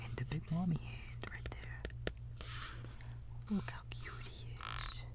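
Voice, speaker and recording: A man plays a babysitter reading a bedtime story in ASMR style. He whispers slowly with a crackly fry, soothing and informal, drifting into little asides for a child. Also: a little high, huge pitch swings. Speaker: ASMR roleplayer playing a babysitter.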